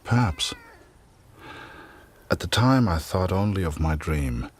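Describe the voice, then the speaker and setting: An actor playing a grave, weary middle-aged soldier, narrating solemnly and with measure in a dramatic game. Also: male voice, deep voice, lively intonation, takes it slowly, slightly rough, full character voice. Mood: grieving, reflective. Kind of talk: scripted dramatic narration, letter-like.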